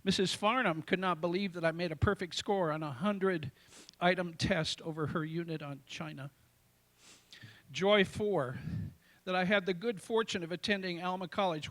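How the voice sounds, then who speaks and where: An older American man in his sixties recites his own poems in a tenor voice that sits a little high. He phrases each line deliberately, leaning on key words and leaving dramatic pauses between them. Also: a bit bright. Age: 60s. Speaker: amateur poet. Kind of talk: reciting own poetry to a live audience.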